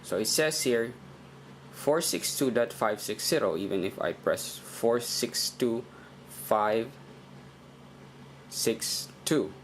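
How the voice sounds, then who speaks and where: A man giving an informal step-by-step tutorial in a halting, deliberate way, often repeating himself. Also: medium pitch, slight background noise. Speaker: instructor in a how-to video.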